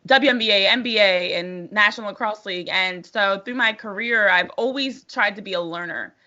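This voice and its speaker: A bright, forceful American woman speaks as an interview guest. She tells her story conversationally, articulate and positive. The audio is slightly dull and lo-fi. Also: medium pitch, moderate pace. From New Jersey, United States. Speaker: sports broadcaster being interviewed.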